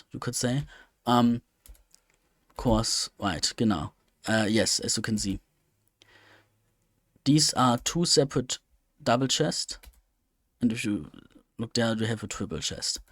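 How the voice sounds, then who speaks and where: A man's voice: on the low side, no room sound, clean studio sound. A man giving casual, unscripted gameplay commentary, hesitant and rambling as he thinks aloud. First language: German. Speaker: let's play host.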